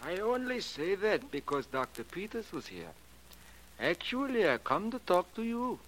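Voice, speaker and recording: A man's voice: high voice, sing-song, moderate pace, fairly bright tone, heavily acted, low-quality recording. A man playing an ominous, insistent janitor obsessed with astrology, speaking stilted broken English in 1940s radio drama style. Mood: insistent, demanding. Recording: vintage 1940s radio recording.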